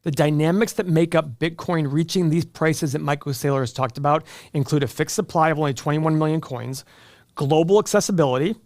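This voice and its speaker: A man's voice: a little high, a little fast, a little mellow, no echo, studio quality. An American man hosting an investing channel, giving opinionated finance commentary in an emphatic, argumentative way straight to the viewer.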